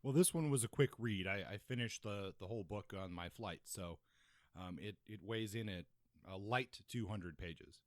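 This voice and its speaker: A man's voice: on the low side, normal speed, dry recording, clean studio sound. A man co-hosting a podcast, reviewing and discussing books. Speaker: podcast co-host.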